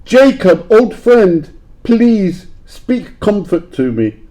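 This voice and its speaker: A man playing a grumpy, frightened old miser in an audio play, theatrical and shifting between gruff scorn and pleading. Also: sits high, big pitch swings, takes it slowly, dark tone, exaggerated voice. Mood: terrified, pleading. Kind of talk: library audio play acting.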